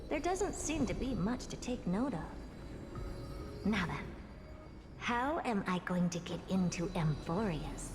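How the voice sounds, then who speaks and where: An actress voicing a haughty, curious young genius in a video game, musing aloud in an imperious, theatrical way. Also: female voice, fairly high pitch. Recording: long hall reverb, music underneath.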